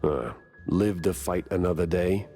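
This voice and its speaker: An actor playing a world-weary, wisecracking mouse private detective in a 1930s noir cartoon game, gruff and deadpan. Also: male voice, deep voice, measured pace, soft background music. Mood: brooding, suspicious. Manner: deadpan, sardonic.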